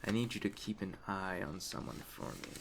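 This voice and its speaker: A mafia Don, played by a man, speaks very low with a heavy gravelly creak. He is a courteous, measured crime boss with quiet menace, talking straight to the listener in an ASMR roleplay. Storm ambience runs faintly behind him. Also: background noise. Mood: calm, quietly menacing.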